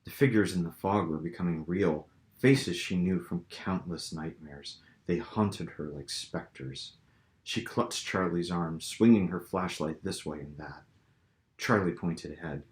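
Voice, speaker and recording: A man with a low voice narrates fiction as its author. His delivery is dramatic storytelling, and the room adds clear echo around him. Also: measured pace. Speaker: author reading own novel. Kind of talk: dramatic audiobook-style narration. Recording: roomy, echoing room sound.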